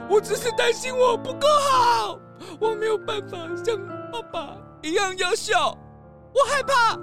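A woman voicing an excitable, insecure young male ostrich in a children's audio drama, stammering and whiny. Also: very high-pitched. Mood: ashamed, tearful.

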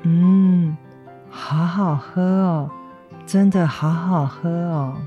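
A woman with a Taiwanese accent playing a gentle, apologetic mother recovering from surgery, speaking tenderly in an educational radio drama. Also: lowish voice, fairly even intonation, extremely slow, highs cut off, soft background music. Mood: contented. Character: gentle, apologetic convalescing mother of a teenage boy. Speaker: amateur voice actor, schoolteacher.

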